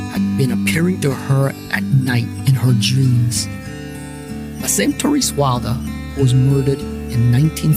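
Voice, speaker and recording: A man's voice: mid-range voice, natural voice. A man playing a wisecracking private paranormal investigator, narrating his own story in a wry, colloquial, self-mocking way. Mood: wry, storytelling. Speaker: narrator and lead character. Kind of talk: scripted first-person noir-comedy narration. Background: guitar music.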